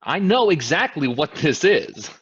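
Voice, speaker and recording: A man playing a cocky, blunt schemer in a staged play reading, with a husky voice. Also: fairly high pitch, very animated, a little slow, fairly bright tone, full character voice. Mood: defensive, argumentative. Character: cocky, blunt male passenger in a pyramid scheme. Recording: dull lo-fi recording.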